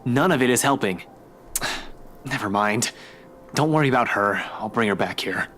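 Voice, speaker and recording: A man's voice: mid-range voice, lively intonation, moderate pace, fairly bright tone, a little hiss or hum, lightly acted. Terse, frustrated young man, played by an actor. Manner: terse, exasperated. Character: frustrated, curt young man, guarded and stern. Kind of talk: visual novel game voice acting.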